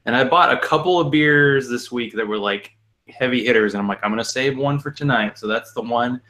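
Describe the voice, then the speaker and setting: A man's voice: mid-range voice, brisk, bright, forceful tone. A man co-hosting a casual chat over a video call, laid-back and joking. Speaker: co-host.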